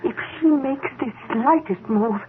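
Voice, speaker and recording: A woman's voice: sits high, measured pace, slight background noise. An actress playing a scheming mistress who informs on her lover, in a 1970s radio drama, speaking urgently. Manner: urgent, controlling, pressing. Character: scheming younger woman, a scientist's mistress and informer. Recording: narrow, phone-like old radio broadcast.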